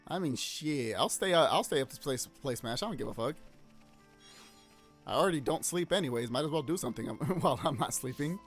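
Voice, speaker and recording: A man's voice: on the high side, animated, brisk. A male streamer chatting over his gameplay with a voice that rises and falls a lot. He laughs often and swears casually. Faint game music plays behind him. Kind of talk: casual live-stream chat.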